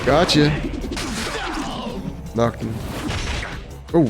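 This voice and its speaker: A man doing casual let's play commentary, muttering quips to himself in a creaky voice. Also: medium pitch, very slow, music in the background.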